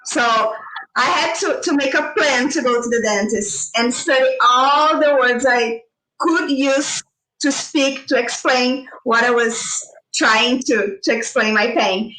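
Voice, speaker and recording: High, bright Brazilian woman on a video call. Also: measured pace, roomy sound, recorded from a distance, low-quality recording. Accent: Brazilian Portuguese. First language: Portuguese. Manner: hesitant, searching for words, restarts. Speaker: tarot teacher, panel guest. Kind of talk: casual online panel chat.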